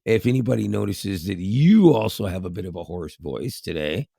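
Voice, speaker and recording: Hoarse, gravelly man. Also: lowish voice, lively intonation, normal speed, mellow, no echo, clean studio sound. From Canada. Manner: rambling, with frequent restarts and chuckles. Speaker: podcast co-host. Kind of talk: casual podcast chat.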